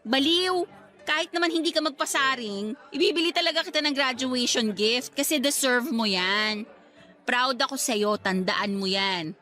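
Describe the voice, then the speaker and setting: A woman's voice: high-pitched, moderate pace, sharp tone. A woman playing a young working woman, a wistful but playful best friend, in an acted radio love story. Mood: teasing, fond.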